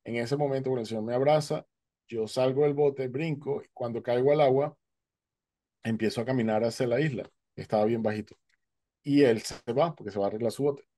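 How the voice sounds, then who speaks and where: Man telling his story, dark mellow voice. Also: mid-range voice, brisk, no room sound. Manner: vivid storyteller, acting out dialogue. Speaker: guest speaker. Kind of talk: personal testimony. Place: home, indoors, virtual background. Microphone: headset.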